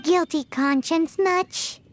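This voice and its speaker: A woman's voice: extremely high pitch, slow, soft background music. A woman voicing a tiny, childlike, perky fairy companion in a video game, cutesy and chatty. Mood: skeptical. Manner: speaks of herself in third person, cutesy.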